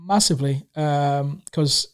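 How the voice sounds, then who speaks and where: Chatty Tanzanian-raised man, fairly high voice. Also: slow, clean tone. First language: Swahili. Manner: rambling, many fillers and restarts. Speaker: guest. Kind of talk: casual conversation.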